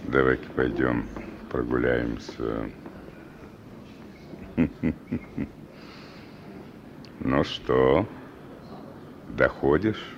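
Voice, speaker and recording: An actor playing a sly, menacing killer and gang leader with a gravelly voice, in a Soviet-era radio drama. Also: male voice, rumbling low, exaggerated voice. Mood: sly menace. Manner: slow, taunting, chuckling criminal slang. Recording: dull, noisy old radio recording.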